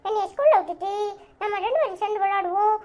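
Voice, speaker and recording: A woman's voice: very high-pitched, animated, quick pace, noisy background, pitched above the performer's own voice. A woman voicing a cheeky, scheming little boy who makes gleeful, mocking asides. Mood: coaxing.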